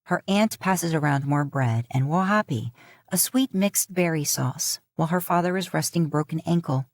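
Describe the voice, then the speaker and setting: A woman narrating a fan fiction audiobook in a calm, even storytelling manner. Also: deep voice, moderate pace, no room sound, clean studio sound. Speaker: podfic narrator.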